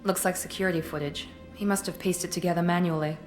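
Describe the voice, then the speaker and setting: An actress playing a sardonic human operative with a dry manner. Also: female voice, lowish voice, fairly even intonation, quick pace, soft background music, lightly acted.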